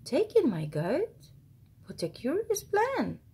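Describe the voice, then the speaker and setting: A woman voicing a fretful, dramatic little old lady in a rhyming children's storybook, complaining in drawn-out wails. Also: high voice, wildly swooping pitch, warm tone, full character voice. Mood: pleading, puzzled. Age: older.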